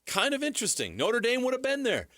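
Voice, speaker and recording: High-pitched, animated American sports talker. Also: male voice, fast, dry recording, clean studio sound. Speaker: sports radio co-host. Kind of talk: casual opinionated sports talk.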